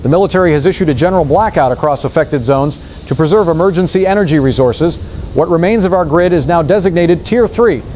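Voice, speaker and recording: A man's voice: on the high side, quick pace, darkish tone, a little hiss or hum, natural voice. A man playing a grave, dutiful news anchor in an apocalypse drama, speaking in clipped, formal emergency-broadcast style. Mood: grave, controlled.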